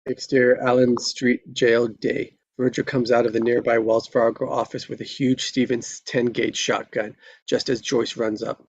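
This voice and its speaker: A man's voice: medium pitch, flat delivery, moderate pace, hardly any pauses. A man narrating scripted stage directions at a live table read, on a dull recording.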